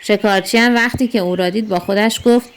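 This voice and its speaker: A woman narrating a scripted children's story in an expressive, storytelling manner. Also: medium pitch, a little hiss or hum.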